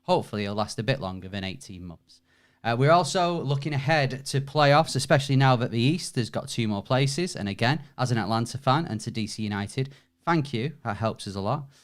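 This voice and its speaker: Man, mid-pitched, upbeat, promo read. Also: animated, brisk. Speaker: guest promo host. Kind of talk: recorded promo message.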